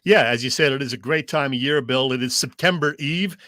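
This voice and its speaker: Dry-sounding male sports host, medium pitch. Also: fairly quick, pushed and bright, no echo. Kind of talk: casual sports talk.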